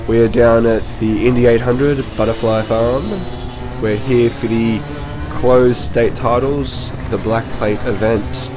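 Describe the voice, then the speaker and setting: A man with a slightly hoarse, worn voice casually talks through footage of his kart race, rambling with lots of ums and restarts. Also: medium pitch, little pitch movement, slow. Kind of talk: casual commentary over race video.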